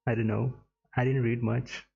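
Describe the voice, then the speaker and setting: A person, a man, giving casual, halting, muttered reaction commentary while playing a game. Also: mid-range voice, little pitch movement, vocal fry, dark tone, husky. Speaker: gamer reacting to a story quest. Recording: dull lo-fi mic, game music behind.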